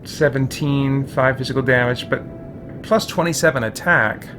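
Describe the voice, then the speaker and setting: Man, gaming host, casual commentary, medium pitch, thinking aloud, muttered asides, mild exclamations, background music. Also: normal speed. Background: game music.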